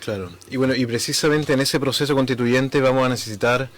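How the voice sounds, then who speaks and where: A man hosting a university radio interview with a voice at middling pitch. He introduces his guest and asks questions, pausing often on fillers. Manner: hesitant, many fillers. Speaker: radio host. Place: radio studio.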